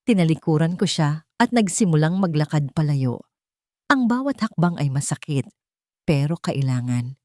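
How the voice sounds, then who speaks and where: A woman's voice: deep voice, lively intonation, moderate pace, warm tone, no room sound, studio quality. A woman reading scripted first-person narration of a dramatic love story.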